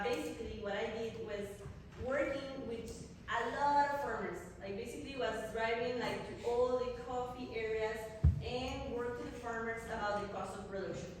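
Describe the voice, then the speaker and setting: A young woman from Costa Rica with a Spanish accent, a coffee agronomist, explaining her work to a visiting group in a step-by-step way with fillers and restarts. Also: on the high side. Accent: Costa Rican Spanish. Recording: echoey hall from far off, noisy.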